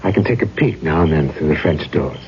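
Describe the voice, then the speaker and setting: An actor playing a courtly, mysterious, melancholy gentleman with poetic, theatrical phrasing in an old-time radio drama. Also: a bass voice, expressive, a little fast. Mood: wistful. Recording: dull, noisy old radio recording.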